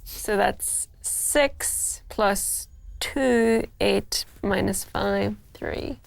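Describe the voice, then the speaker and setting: A woman's voice: mid-range voice, extremely slow, creaky. A woman playing a tabletop roleplaying game, talking in casual, playful table chat.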